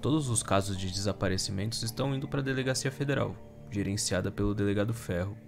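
A man's voice: on the low side, quick pace, gravelly, over music. A Brazilian man acting in an audio drama as a dutiful, wary police soldier with a formal, cautious manner. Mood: guarded, informative.

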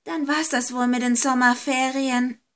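A woman's voice: high-pitched, fairly even intonation, a little slow, a bit bright. A woman voicing a timid, apologetic young friend in an amateur horror audio story. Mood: subdued, wistful.

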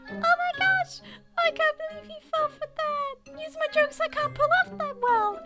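A woman voicing a gleeful, mischievous giant moth in a comic dub, giggly and excitable. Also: very high voice, normal speed, loud music behind the voice.

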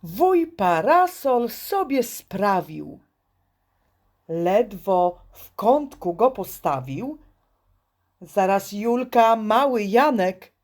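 A woman reading a children's poem expressively, with theatrical, deliberate phrasing and pauses. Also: medium pitch. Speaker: reader.

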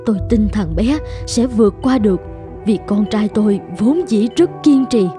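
A woman's voice: mid-range voice, moderate pace, warm tone, faint music behind, natural voice. An actress playing a devoted, emotional mother in a cartoon, tender, earnest and dramatic. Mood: resolute. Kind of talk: Vietnamese cartoon voice acting.

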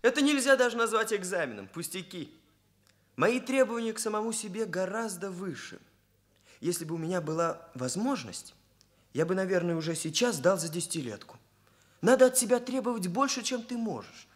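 Radio play role: self-improving young male hairdresser, high voice, animated pitch, bookish and proper. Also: a little fast. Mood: earnest, formal. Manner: precise, bookish, formal phrasing. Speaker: radio drama actor. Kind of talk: Soviet radio play acting. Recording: radio play, violin music behind.

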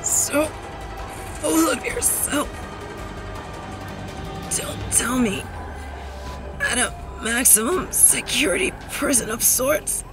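A woman's voice: sits high, animated, slow pace, pauses often, over loud music. Woman playing a theatrical, vengeful supervillainess. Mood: mocking. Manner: theatrical, menacing, swinging from hushed to shouted. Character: vengeful, bitter female supervillain, sardonic and protective. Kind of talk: dramatic audio roleplay acting.

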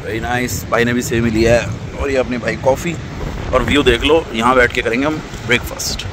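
A man vlogging a road trip in casual, excited chat that mixes Hindi and English. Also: medium pitch, fairly quick, background noise. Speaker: travel vlogger. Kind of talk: casual travel vlog chat. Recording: loud music behind the voice.

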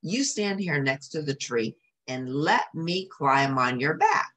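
A woman voicing a gentle, caring female dog in a storybook, sweet, cooing and pleading. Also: deep voice, big pitch swings, slow, full character voice.

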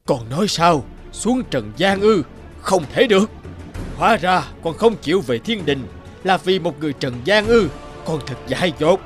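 A man's voice: high-pitched, expressive, normal speed, faint music behind. A man playing an imperious old heavenly emperor. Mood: shocked, disapproving. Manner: commanding, emphatic. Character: old heavenly emperor, doting but stern, imperious father.

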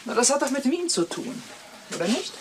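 An actress playing a bitter, suspicious middle-aged wife in a German crime radio play, asking pointed, sarcastic questions. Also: female voice, high-pitched, sing-song, fairly quick, noisy background.

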